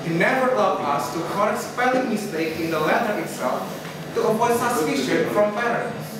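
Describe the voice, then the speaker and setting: A teenage boy reading the narration for a school readers theater, steady and even. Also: high voice, brisk. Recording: echoey classroom, distant mic, loud noise.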